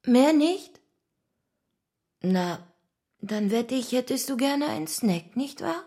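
An actress playing an affectionate, secretive, coaxing mother in a radio drama, with a creak in her voice. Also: high voice, lively intonation, takes it slowly, dark tone, heavily acted. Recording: music bed under the dialogue.

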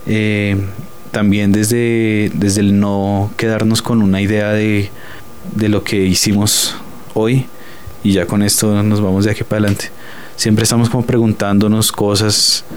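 Low-voiced Colombian man in interview. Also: level tone, measured pace, slight background noise. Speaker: theatre group director and interviewee. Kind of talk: spontaneous interview testimony.

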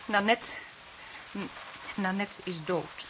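An actress playing a prim, anxious and stubbornly convinced aunt in a crime drama, speaking earnestly, emphatically and haltingly. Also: female voice, medium pitch, fairly bright tone, poor audio. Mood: distressed, insistent. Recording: hissy recording, faint noise.

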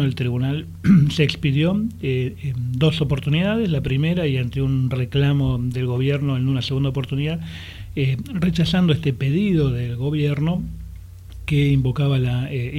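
A man with a porteño accent from Buenos Aires, a lawyer who is a guest on a radio interview, explains things at a relaxed pace with many fillers and restarts. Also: mid-range voice. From Argentina. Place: radio studio.

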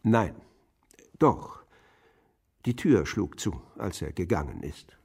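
Older man, low gravelly husky voice, clipped guarded answers, reticent pensioner, radio drama. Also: big pitch swings, slow pace, darkish tone, heavily acted. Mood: defensive, matter-of-fact. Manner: terse, guarded, matter-of-fact answers. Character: reticent old pensioner, a murdered man's nosy neighbour. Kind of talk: German radio drama dialogue.

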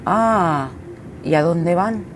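A woman acting in a radio drama as a scatterbrained, excitable young activist, with a Spanish accent from Spain and slangy, chatty delivery. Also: on the low side, extremely slow, background noise. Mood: flustered.

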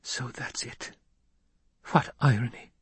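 Man voicing a watchful mother's hushed inner thoughts. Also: medium pitch, normal speed, slightly rough, dry recording, pitched above the performer's own voice, lightly acted. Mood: hushed, wry. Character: watchful, self-controlled mother, thinking to herself. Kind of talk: dramatised audiobook narration.

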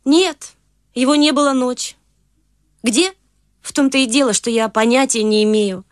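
A fretful, high-pitched society mother in a radio play, chattering anxiously in a fussy rush. The sound is close and dry, with no room around it. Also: unhurried, dry recording. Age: middle-aged. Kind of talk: studio radio drama acting.

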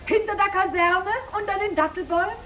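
An actress playing an earnest, plain-spoken young peasant maid in a 1950s German radio drama. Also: female voice, very high voice, quick pace, fairly bright tone. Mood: shy, playful. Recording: faintly hissy, distant 1950s radio recording.

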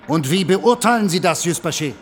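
An actor playing a sceptical general in a German radio drama, precise, controlled and authoritative. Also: male voice, sits high, expressive, a little fast, a little hiss or hum. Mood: cool, commanding. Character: cool, sceptical commanding general questioning a subordinate.

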